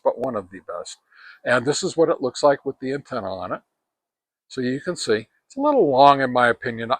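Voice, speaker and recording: An older man around seventy reviews gear in a casual way, talking straight to the camera. His voice sits at a middling pitch. He speaks haltingly, pausing and correcting himself as he goes, in a dry outdoor sound with no echo. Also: a bit bright. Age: older, about 70. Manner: halting, hesitant, self-correcting delivery. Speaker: ham radio gear reviewer. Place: outdoors on a wooden deck among trees.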